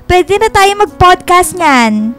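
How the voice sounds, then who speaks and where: Sweet young girlfriend, very high voice, unhurried, tender, teasing, radio drama. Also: animated, a bit bright, full character voice. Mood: cheerful, caring. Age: young adult. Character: sweet, affectionate young girlfriend, respectful and playful. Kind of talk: Filipino radio drama acting.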